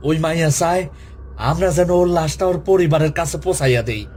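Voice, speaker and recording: A man's voice: a little high, fast talker, a little mellow, slight background noise, close to an everyday voice. A man playing an earnest, grave village gravedigger in a ghost story, speaking with a rural Bangladeshi accent. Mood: troubled realisation. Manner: grave, reflective. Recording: music behind the voice.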